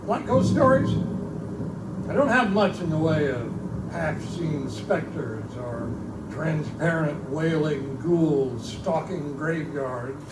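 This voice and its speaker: A man's voice: fairly high pitch, takes it slowly. A man playing a folksy, wisecracking keeper of a remote outpost library, chatty and theatrical, in pulp storytelling style at a live show. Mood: amused, chatty. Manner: colloquial, chatty, theatrical, irreverent. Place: live in a bookstore. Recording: roomy distant live recording, loud background noise.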